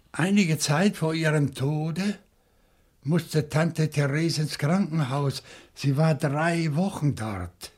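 An actor playing a shrewd, indignant professor turned amateur sleuth in a German radio crime play, reasoning theatrically step by step. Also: male voice, fairly high pitch, a little mellow, husky.

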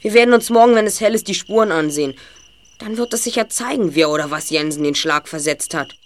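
A woman's voice: medium pitch, lively intonation, fairly quick, slight background noise, close to an everyday voice. A woman playing a clever, self-assured teenage boy detective in a radio play, speaking precisely and analytically. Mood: pondering.

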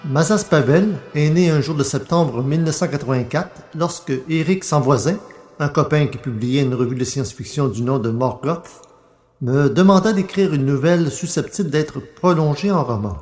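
A man's voice: fairly high pitch, moderate pace, a little mellow. A man hosting a podcast, reading a presentation aloud in a roomy recording. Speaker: podcast host. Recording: roomy sound with faint music.

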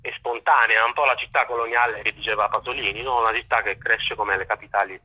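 Halting man, very sharp raspy voice, phone-in. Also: on the low side, steady tone. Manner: halting, searching for words with repeated false starts. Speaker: journalist interviewed as guest. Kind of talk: spoken political and historical analysis in an interview.

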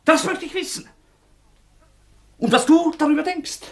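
An actor in a radio drama playing a feverish, obsessive young former student, halting and repeating himself with intensity. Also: male voice, extremely high pitch, a bit bright. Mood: feverish, agitated. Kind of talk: German radio drama acting.

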